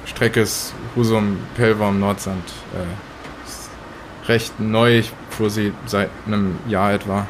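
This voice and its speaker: A man's voice: on the low side, little pitch movement, slow pace. A man playing an evasive, reticent rescued ferry worker in an improvised audio drama, terse and hesitant. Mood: reserved, hesitant. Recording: faint vehicle-like background noise.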